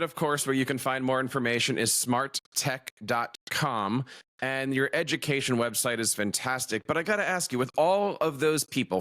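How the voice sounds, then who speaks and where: A man hosting an education podcast, greeting listeners and interviewing a guest in an upbeat, animated way. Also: mid-range voice. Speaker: podcast host.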